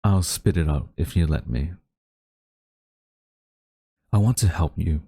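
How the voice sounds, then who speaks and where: A very low, dark and mellow voice, recorded close and dry with no room sound. It is a haughty government official played by a man with a hidden tenderness. He speaks in formal, theatrical phrases, as in an intimate audio drama. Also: lively intonation, gravelly, breathy edge, no room sound, full character voice. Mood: earnest, gentle. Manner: formal, theatrical, deliberate wording. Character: haughty government official, male, secretly tender toward captured villain. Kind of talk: dramatic audio roleplay monologue addressed to listener.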